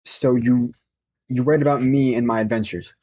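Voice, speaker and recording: An actor playing a hesitant, bewildered adventure hero in an audio drama. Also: male voice, mid-range voice, fairly even intonation, moderate pace, dark tone, lo-fi sound, natural voice. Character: bewildered male adventure hero meeting his creator.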